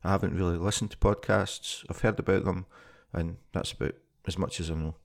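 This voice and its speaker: Brief: Scottish male podcast host, low gravelly voice, relaxed conversational delivery. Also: fairly quick. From Scotland. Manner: plain, conversational, with frequent ums and small restarts. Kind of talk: casual spoken podcast introduction.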